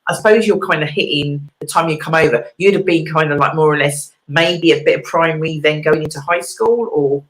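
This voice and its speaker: A woman's voice: sits low, fairly quick, few pauses. A woman hosting a casual chat show, chatty and prone to repeating her words. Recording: echoey room.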